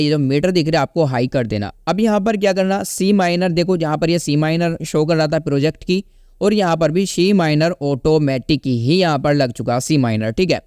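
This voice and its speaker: A man's voice: a little high, darkish tone, clean tone, no echo, clean studio sound. A man hosting a casual step-by-step tutorial, instructing and often checking in with the listener. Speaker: tutorial host.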